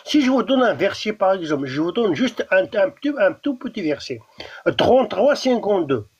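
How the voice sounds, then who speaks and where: A man with a Kabyle Algerian accent argues hard in a live online debate, his pitch leaping up and down as he presses his point. His voice is husky and fairly high. It comes through a dull, muffled live audio feed. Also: big pitch swings, moderate pace. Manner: insistent, repetitive, restarts words. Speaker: debate guest.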